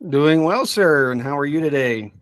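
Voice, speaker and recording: A middle-aged man chatting casually as a podcast's subject expert, thinking aloud in a halting way with repeated words. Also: fairly high pitch, a bit bright, dry recording, studio quality. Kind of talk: casual chat.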